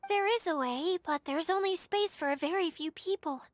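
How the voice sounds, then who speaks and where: A woman's voice: very high-pitched, normal speed, no echo, studio quality. A woman voicing a timid, modest, easily embarrassed girlish helper in a video game, speaking hesitantly. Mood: reassuring. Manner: hesitant, bashful, stammering. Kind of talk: video game character voice acting. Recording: game music under the voice.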